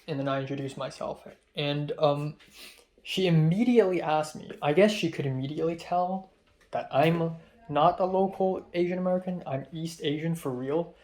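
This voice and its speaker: A man's voice: fairly high pitch, moderate pace, a little mellow. A young man from Hong Kong whose first language is Cantonese, rambling through a casual vlog story about college with lots of fillers and asides. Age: young adult. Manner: rambling, chatty, frequent fillers and trailing-off asides. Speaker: college student vlogger.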